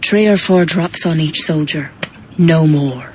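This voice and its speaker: Slow, mystical druid healer woman. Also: low voice, darkish tone, a little hiss or hum. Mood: grave, instructing. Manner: slow, incantatory, commanding. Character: grave, mystical female druid healer and potion maker. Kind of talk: dramatic video game cutscene acting.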